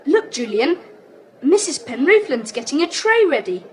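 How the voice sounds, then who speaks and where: A keen young schoolboy with a very high, lively voice. The delivery is chirpy and excitable, as in a children's audio adventure, over faint tape hiss. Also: female voice, moderate pace, a faint crowd. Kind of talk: children's audiobook drama acting. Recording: faintly hissy cassette tape.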